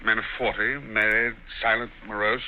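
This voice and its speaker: A man's voice: lowish voice, flat delivery, moderate pace, a little hiss or hum, lightly acted. An actor playing a portly, languid older brother who reasons from his armchair in a 1940s radio drama, dry and self-assured, on a muffled old radio recording.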